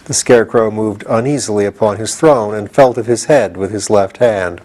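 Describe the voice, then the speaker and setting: A man reading a children's storybook aloud in an expressive narrating style. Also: lowish voice, normal speed. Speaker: audiobook reader.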